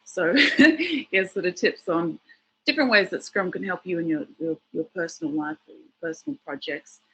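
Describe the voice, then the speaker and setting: A woman's voice: fairly low pitch, normal speed, a bit bright, breathy edge, low-quality recording. A woman speaking as a guest on a video call, chatting casually with lots of fillers and the odd laugh. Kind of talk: casual online talk.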